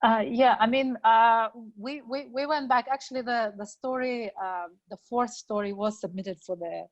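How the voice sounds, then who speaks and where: A woman's voice: fairly high pitch, normal speed, highs cut off. Hesitant woman from Azerbaijan. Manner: hesitant, many fillers. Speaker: freelance photographer. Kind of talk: video call interview. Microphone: video call.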